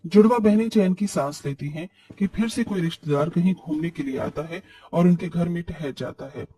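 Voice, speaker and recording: A man giving scripted narration for an animated moral story, as storyteller. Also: fairly high pitch, husky, far from the mic.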